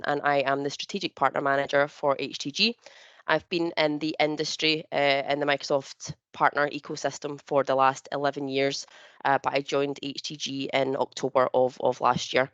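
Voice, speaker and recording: A woman's voice: low voice, fairly even intonation, moderate pace, fairly bright tone, smooth, no room sound, lo-fi sound. A woman hosting a business webinar, speaking with many fillers and restarts over a video call. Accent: not local to the northeast of England. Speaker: webinar host and partner manager.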